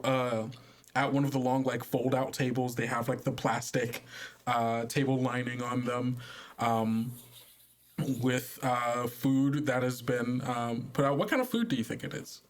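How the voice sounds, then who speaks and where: A man's voice: mid-range voice, steady tone, unhurried. A man working as game master, narrating an improvised tabletop game in a casual, hesitant, conversational way.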